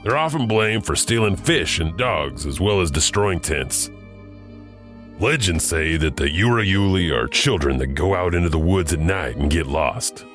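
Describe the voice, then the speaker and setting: A man hosts and narrates legends in a scripted, musing storytelling style. His voice is very deep yet lively. Faint music runs underneath. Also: unhurried. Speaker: narrator and host.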